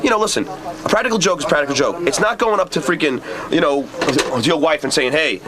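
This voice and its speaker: Brief: man, agitated radio arguer with a stammer, quick rambling delivery, very animated pitch. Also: background noise. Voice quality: stammering, trips over words. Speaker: radio show staff member. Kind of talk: heated argument on a radio show. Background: other people talking over him.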